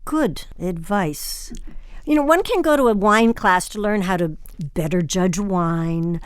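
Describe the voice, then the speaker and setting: A woman speaks as a radio host in a mid-range voice. Her phrasing is deliberate and measured, suited to interviewing and announcing. The recording is dry and close. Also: mid-range voice, animated, smooth, no room sound.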